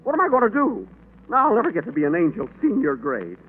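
A bumbling angel on an old-time radio show, dryly polite and a little smug, his voice riding up and down across a very wide range. The sound is thin and boxy, like a narrow radio band under clear hiss. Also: mid-range voice, huge pitch swings, moderate pace. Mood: frantic. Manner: dry, politely smug wit, then panicky. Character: mild, bumbling male angel sent to earth. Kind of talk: 1940s radio acting. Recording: scratchy old radio broadcast.

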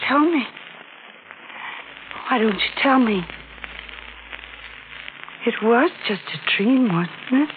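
An actress in a 1940s radio mystery playing a terrified, unhinged woman with a halting, childlike, pleading manner. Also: a little high, expressive, noisy background. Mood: bewildered denial. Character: terrified, unhinged woman confessing to killings in a daze. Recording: dull old radio recording, music underneath.

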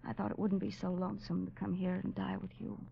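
A woman's voice: mid-range voice, level tone, fast, creaky. A dull, slightly noisy old radio recording carries a haunted woman resigned to death. She speaks in a knowing, fatalistic way, with old-fashioned dramatic delivery. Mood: insistent. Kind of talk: 1950s radio drama acting.

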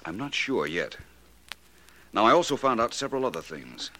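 Male radio sleuth: lowish, bright, polished, theatrical, probing. 1940s style. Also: big pitch swings, normal speed, slight background noise, low-quality recording. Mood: absorbed, methodical. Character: shrewd, courteous, self-assured male amateur detective. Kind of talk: 1940s radio detective drama acting.

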